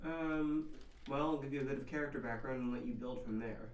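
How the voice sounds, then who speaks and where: A man's voice: medium pitch, a little fast, muffled recording. A man directing voice actors, casually coaching and correcting their line readings. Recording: roomy, echoey, clearly noisy background.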